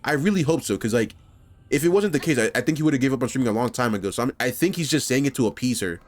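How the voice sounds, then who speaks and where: A man's voice: medium pitch, lively intonation, fast. A man from New York hosting reaction commentary, casual and heartfelt, full of "like" and swearing. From New York, USA. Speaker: commentary channel host. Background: music.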